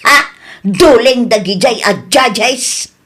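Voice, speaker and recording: A doting old Filipino grandmother in a radio comedy drama, acted out by a woman. The voice sits fairly high. The delivery is stubborn and emphatic, grumbling on behalf of family. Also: takes it slowly, noisy background. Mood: stubborn, complaining.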